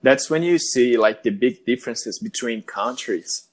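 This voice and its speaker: Talk in a mid-range, friendly way with a Brazilian accent, picking each English word with care as you host the show. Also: male voice, mid-range voice, a little slow. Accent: Brazilian Portuguese. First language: Portuguese. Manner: friendly, careful non-native phrasing. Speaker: podcast host. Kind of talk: casual interview.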